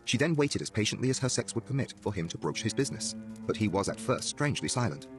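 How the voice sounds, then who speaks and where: Deep voiced guy reading a scary story, moves along fast, soft music behind. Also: very animated. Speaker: narrator. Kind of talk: dramatic scripted horror story narration.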